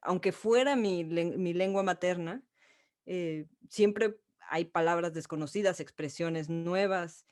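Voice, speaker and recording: A woman's voice: lowish voice, slow pace, a little mellow, soft background music. A woman hosting an interview, thinking aloud in a reflective way. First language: Spanish. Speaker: host. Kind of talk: interview conversation.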